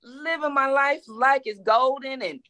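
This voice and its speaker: A woman's voice: high voice, measured pace, sharp tone. Reflective woman in her forties. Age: 40s. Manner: reflective, emphatic, repeats words when thinking. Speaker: guest author. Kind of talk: casual interview.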